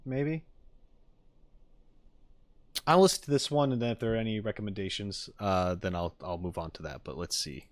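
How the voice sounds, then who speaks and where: A man reacting casually on a livestream, wry and halting, often repeating his phrases. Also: sits low. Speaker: streamer reacting to game audio.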